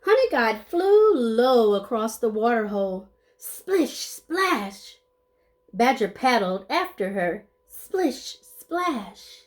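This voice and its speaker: A woman with a fairly high voice reads a children's picture book aloud. Her pitch moves in big, lively swings and her pace is very slow. She gives the sound words dramatic weight and adds short asides to young listeners. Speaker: storybook reader.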